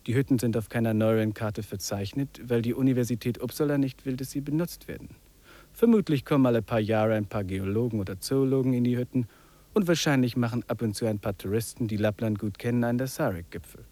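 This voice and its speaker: An actor in a 1950s radio drama playing a wry, contemplative English trekker who muses and drops in English phrases. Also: male voice, mid-range voice, brisk, dark tone, no echo, close to an everyday voice. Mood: musing. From England. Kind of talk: 1950s German radio drama acting, reflective monologue and dialogue.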